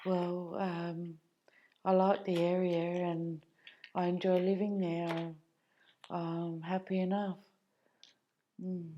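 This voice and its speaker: A woman's voice: on the low side, flat delivery, slow pace, long pauses, highs cut off, slight background noise. An Australian woman giving a plain personal account in short, simple sentences. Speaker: speaker in a community film.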